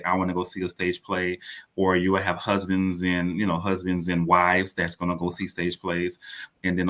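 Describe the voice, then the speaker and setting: Bright, forceful man with a deep voice. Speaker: interview guest, playwright and producer. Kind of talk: conversational interview answers.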